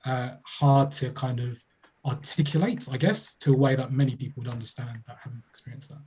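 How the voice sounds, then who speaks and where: A man talks over a video call in a measured, reflective way, often pausing on fillers. His voice is mellow and dark, with a slight huskiness. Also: medium pitch, slightly rough. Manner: thoughtful, measured, with many fillers. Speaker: panelist. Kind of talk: webinar panel discussion.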